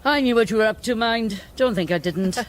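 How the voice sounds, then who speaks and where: An older woman with a Welsh accent playing a proud, sharp-tongued, ageing female singing star in a radio play. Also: a little high, a little fast, natural voice. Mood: teasing. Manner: theatrical, grand, teasing.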